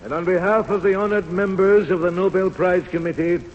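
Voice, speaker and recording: An actor playing a solemn, formal prize committee official, speaking in a stately, ceremonious way in old radio drama style. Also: male voice, high-pitched, steady tone, brisk, natural voice. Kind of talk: 1930s radio acting, ceremonial speech. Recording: muffled old radio recording, long hall reverb.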